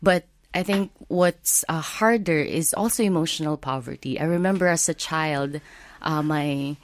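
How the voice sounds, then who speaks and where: A woman from the Philippines with a Filipino accent, a guest earnestly telling her life story with many uh and um pauses. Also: sits low, dry recording.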